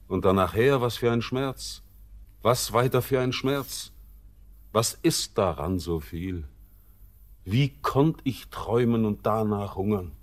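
Guy acting a gloomy sailor in an old radio play, deep voice, talks slow and bitter. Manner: slow, somber, bitter and brooding. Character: bitter young male sailor returned home, brooding.

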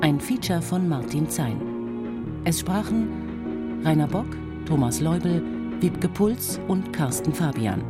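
Lively, unhurried female radio announcer over music. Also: sits low. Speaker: announcer reading credits. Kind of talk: scripted broadcast announcement. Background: prominent music.

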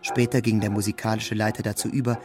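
A man's voice: fairly low pitch, little pitch movement, fast talker, over music. A man reading a scripted literary narration in a sober, factual manner.

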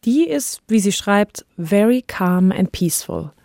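A woman's voice: mid-range voice, lively intonation, a little slow, vocal fry, dark tone, no echo, studio quality. A woman hosting a podcast, telling a scripted story in a conversational narrating style. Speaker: podcast host. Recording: music bed under voice. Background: background music.

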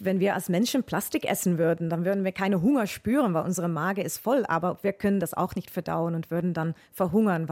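A woman's voice: medium pitch, a little mellow. A woman from the Netherlands with a Dutch accent, explaining things as a zoology expert. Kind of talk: explanatory interview answers.

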